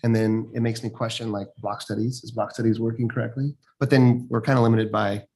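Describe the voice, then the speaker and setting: Male board member, rapid and informal, working through questions aloud at a public meeting. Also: medium pitch, little pitch movement, dark tone. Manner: informal, thinking aloud, peppered with "like". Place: school board meeting room.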